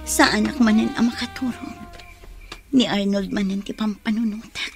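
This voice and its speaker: An actress with an Ilocano accent playing an anxious, devoted wife in an emotional radio drama. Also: female voice, medium pitch, steady tone, moderate pace, faint music behind, natural voice. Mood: worried, hushed. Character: anxious, devoted wife praying for her wayward husband.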